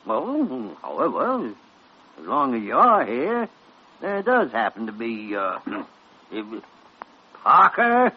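An older man acting a crusty, teasing senior physician in an old-time radio drama, gruff, blustery and drawling. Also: medium pitch, wildly swooping pitch, slow pace, long pauses, exaggerated voice. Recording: muffled, noisy vintage radio recording.

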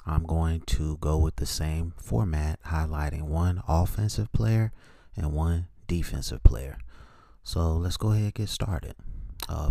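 Deep, mellow-voiced man talking football. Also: no room sound, studio quality. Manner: plainspoken, opinionated, clipped note-like phrases. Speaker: host of a sports opinion show. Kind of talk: solo football scouting commentary, part read from notes.

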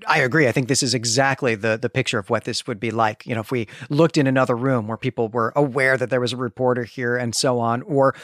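A man co-hosting a podcast, retelling and analysing a story in a measured, explanatory way. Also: mid-range voice, steady tone, a bit bright, dry recording, studio quality. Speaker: podcast co-host.

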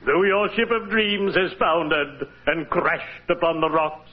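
An actor playing a courtly, morbid undertaker in a 1940s radio comedy, speaking in a solemn, drawn-out, formal way. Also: male voice, fairly high pitch, natural voice. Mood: morbidly droll. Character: lugubrious, courtly undertaker who relishes morbid puns. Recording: noisy muffled vintage radio recording.

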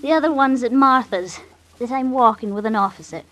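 A woman's voice: a little high, fairly quick, a bit bright, lightly acted. A woman playing an emotional, girlish poor young woman of Victorian London in an old-time radio drama. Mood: coy, uneasy.

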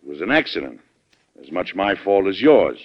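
An actor playing a firm, shrewd American president in an old radio drama, talking bluntly and assertively with an American accent. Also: male voice, sits low, big pitch swings, normal speed. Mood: insistent, fatherly. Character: firm, plain-spoken American male president, shrewd and commanding. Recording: dull, band-limited vintage radio recording.

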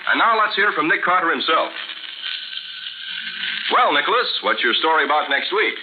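An American male announcer in classic 1940s radio style. His delivery is polished and emphatic, rather high in pitch and very lively. It comes through a muffled old broadcast. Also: moderate pace, very noisy.

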